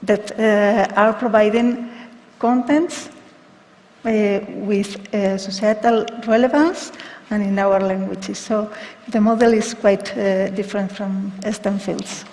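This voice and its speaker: A woman's voice: medium pitch, steady tone, slow, a little mellow. A woman speaking hesitantly as a panelist in a discussion. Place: live panel event in a hall. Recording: long hall reverb.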